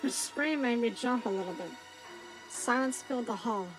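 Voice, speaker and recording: A woman's voice: on the high side, moderate pace, loud music behind the voice. A woman streamer reading a visual novel aloud, playful and joking with chat, cursing at times. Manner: playful, swears, jokes with chat.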